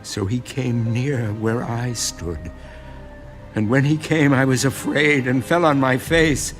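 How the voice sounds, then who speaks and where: A man reads scripture as a solemn, earnest audiobook narrator. He takes his time at a slow pace, in a mid-pitched voice, with music clearly behind him.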